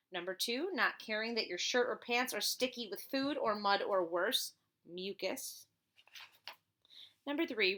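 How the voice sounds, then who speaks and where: A woman's voice: medium pitch, pushed and bright. A woman reading a children's storybook aloud as a teacher would, expressively and with chatty asides.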